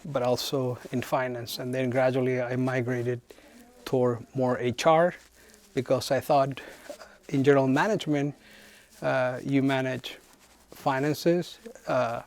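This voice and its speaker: A middle-aged man from Chile, answering interview questions as a head of human resources and pausing often to find his words. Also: mid-range voice, a little mellow. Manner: thoughtful, frequent hesitations.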